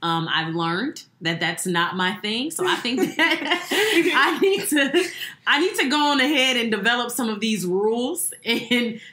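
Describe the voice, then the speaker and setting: A woman's voice: a little high, unhurried, sharp tone. A woman in her twenties talking casually and reflectively about herself as a podcast guest. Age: 20s. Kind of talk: casual, reflective personal talk. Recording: roomy, echoing indoor sound.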